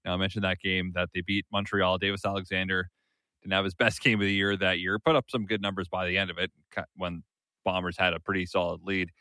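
A man hosting a sports talk show, briskly setting up interview clips and commenting on games. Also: low voice, flat delivery, pushed and bright, no echo. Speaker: sports radio host.

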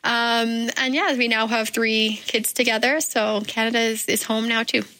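A woman's voice: on the high side, level tone, moderate pace, bright, forceful tone, a little hiss or hum, low-quality recording. A woman from the Bahamas, a guest in a radio studio interview, answering thoughtfully with frequent "um" fillers. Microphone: studio microphone.

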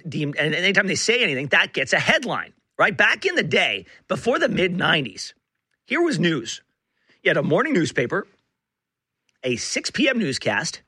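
A man hosting talk radio with a slightly hoarse, rough-edged voice, halting and restarting as he makes emphatic points at a close studio mic. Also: fairly high pitch, pushed and bright, dry recording. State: hoarse, rough voice from being unwell. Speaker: radio talk show host. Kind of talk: talk radio monologue and banter. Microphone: close studio broadcast mic.

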